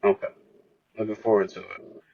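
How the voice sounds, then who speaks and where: A man hosting a casual podcast interview, asking questions in a halting way with pauses. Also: on the low side, very animated, creaky, highs cut off, noisy background.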